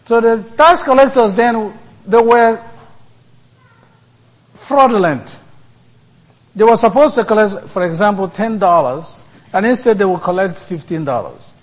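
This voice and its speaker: Man, priest, sermon, very slow delivery, short emphatic phrases. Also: high-pitched, expressive, low-quality recording. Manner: deliberate preaching in short halting phrases.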